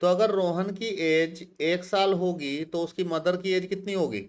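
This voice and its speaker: A man in his fifties teaches math slowly and patiently. His voice sits a little high for a man. He stops often to ask his students questions and repeats their answers back to them. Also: steady tone. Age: 50s. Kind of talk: classroom lecture mixing Hindi and English.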